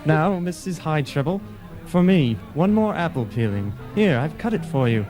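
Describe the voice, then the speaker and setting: Man acting a courtly, lightly high-pitched count. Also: lively intonation, moderate pace, music in the background, close to an everyday voice. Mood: teasing. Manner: affected, upper-crust, polite exclamations. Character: genteel, courtly male count, solicitous party guest. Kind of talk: old-time radio play acting.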